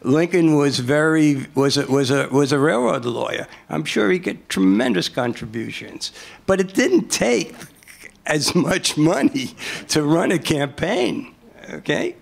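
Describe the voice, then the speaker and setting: An older man speaking as a panelist in an informal lecture style, halting and repeating words for emphasis, at a table microphone. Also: on the high side, animated, creaky. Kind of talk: informal lecture, answering audience questions.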